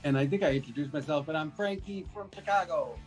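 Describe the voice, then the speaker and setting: A woman's voice: sits low, quick pace, a little mellow, faint music behind. A woman improv performer introducing herself playfully.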